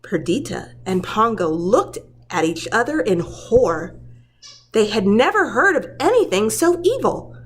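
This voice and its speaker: Slow, lively woman reading a storybook. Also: a little high, slightly rough. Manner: dramatic, deliberate storytelling for young children. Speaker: preschool teacher reading aloud. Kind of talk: expressive children's storybook read-aloud.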